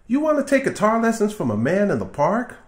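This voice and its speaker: A man's voice: high voice, huge pitch swings, fairly quick, pitched above the performer's own voice. A man voicing a protective mother in a storybook, wary and questioning. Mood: disbelieving. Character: protective, skeptical mother of a young boy.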